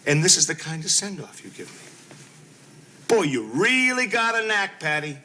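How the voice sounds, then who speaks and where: An actor playing a self-pitying husband who swings from whining to shouting in a comedy scene. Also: male voice, high-pitched, big pitch swings, normal speed, a little hiss or hum, exaggerated voice. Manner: melodramatic, whining then shouting. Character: self-pitying, explosive middle-aged husband.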